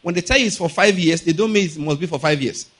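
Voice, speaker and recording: A man's voice: on the high side, fast, fairly bright tone. A middle-aged Nigerian man preaching a teaching sermon, explaining point by point with repetition and frequent rhetorical checks on understanding. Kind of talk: sermon, teaching with everyday examples.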